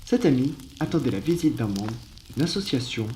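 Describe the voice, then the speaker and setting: A man's voice: mid-range voice, animated, fairly quick, darkish tone, roomy sound, a little hiss or hum, rough recording. A man narrating a scripted ASMR roleplay as a storyteller.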